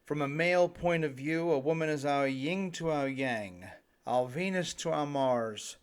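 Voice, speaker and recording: A man's voice: on the high side, a bit bright. A middle-aged man narrating his own self-help audiobook with light tongue-in-cheek humour, speaking straight to his listeners. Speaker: author reading own audiobook.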